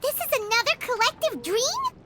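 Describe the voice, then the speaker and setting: A woman voicing a tiny, excitable childlike fairy companion in a video game, with a squeaky voice. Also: normal speed, background noise. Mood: curious. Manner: excitable, refers to herself in third person. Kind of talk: English video game voice acting.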